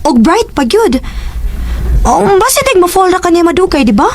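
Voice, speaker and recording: An actress with a Cebuano accent playing a pushy, gushing young best friend in a radio drama. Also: female voice, very high voice, very animated, normal speed, full character voice. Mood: gushing, coaxing. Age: young adult.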